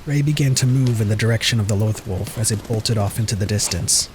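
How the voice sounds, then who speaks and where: A man's voice: lowish voice, a little fast, background noise. A man reading scripted dramatic narration as the narrator of an audio story.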